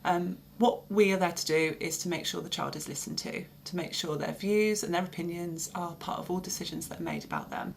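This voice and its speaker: English woman explaining a service, lowish gravelly voice, faint hiss behind. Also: a little fast, few pauses. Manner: steady, informative, with fillers. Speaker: advocacy service worker.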